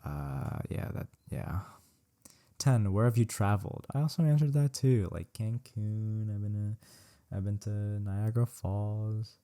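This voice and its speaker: A young Californian man talks loosely through a list of questions, his voice a touch low and mellow. He swears freely, tacks "bro" onto his sentences and corrects himself mid-thought. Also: measured pace. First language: Vietnamese. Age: young adult. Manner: slangy and profane, says "bro" a lot; rambling, self-correcting, laughs at himself. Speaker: solo podcast host. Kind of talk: casual solo Q&A chat.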